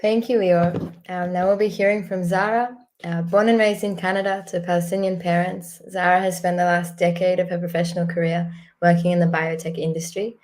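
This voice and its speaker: A woman moderating a community event with a slightly low voice. She introduces the next speaker in a measured way, reading from her notes. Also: flat delivery, a little mellow.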